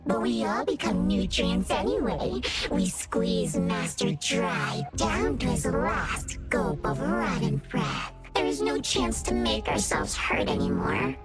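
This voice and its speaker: A woman's voice: deep voice, wildly swooping pitch, takes it slowly, over loud music, heavily acted. A woman voicing an eerie flower spirit in a video game, theatrical and repetitive in a singsong way. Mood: mournful, bitter. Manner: theatrical, repeating words in singsong. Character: eerie female flower spirit, servant of a dead master.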